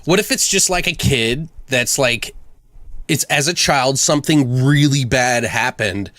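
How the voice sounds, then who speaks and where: A man's voice: mid-range voice, unhurried, a bit bright, no room sound. A man hosting a podcast in casual banter, informal, joking and freely swearing. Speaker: podcast host.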